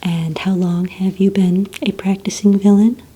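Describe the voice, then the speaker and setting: A woman whispering breathily in a soft-spoken ASMR roleplay as a polite, encouraging receptionist, asking questions in a gentle, hesitant, unhurried way. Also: mid-range voice, flat delivery, slight background noise, low-quality recording. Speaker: ASMR roleplay performer as a villain recruitment receptionist.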